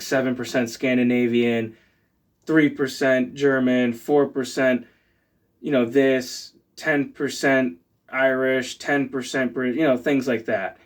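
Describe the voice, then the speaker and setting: A man, chatting casually as he unboxes items for his channel, rambling with lots of fillers. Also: mid-range voice. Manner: rambling, filler-heavy, relaxed. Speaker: channel host.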